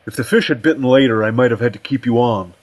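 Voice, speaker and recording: A man's voice: mid-range voice, fast talker, natural voice. A man voicing a big, curt male department chairman in a story, formal and dismissive. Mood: cold, dismissive.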